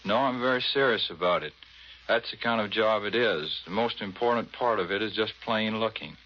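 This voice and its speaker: Male military officer, American accent, low pitch, modest matter-of-fact manner, muffled vintage radio with faint noise. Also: moderate pace. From United States. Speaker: Navy patrol squadron commander being interviewed. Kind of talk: 1940s scripted radio interview. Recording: muffled, slightly noisy 1940s radio.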